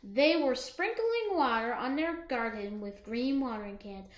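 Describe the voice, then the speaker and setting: A woman co-reading a children's picture book aloud in an expressive, emphatic way. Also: a little high, unhurried, reverberant. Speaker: co-reader of a children's story.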